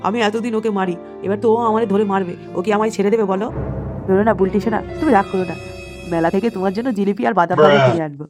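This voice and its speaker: A woman plays a cheating cartoon grandpa, an old man coaxing in a hurried, mid-pitched voice. His pleading is sly and placating, with music running underneath. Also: close to an everyday voice. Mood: pleading, anxious. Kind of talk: amateur comedy cartoon acting.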